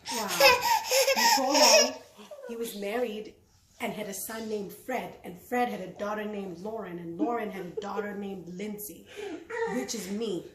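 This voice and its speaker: A woman reading a children's story aloud as a tutor, with expressive, deliberate phrasing. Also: medium pitch. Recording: echoey room, clear background noise, clicks.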